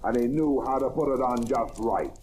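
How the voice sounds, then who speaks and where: An actor playing a shrewd, wisecracking detective with an American accent in an old-time radio crime drama, confident and hard-boiled. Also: male voice, medium pitch, moderate pace, darkish tone, natural voice. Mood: confident, wry. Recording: noisy vintage radio recording.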